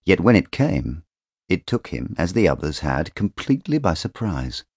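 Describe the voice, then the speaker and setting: A man narrating literary fiction as an audiobook, in a measured, expressive storytelling manner on a close studio microphone. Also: low-pitched, slightly rough, dry recording.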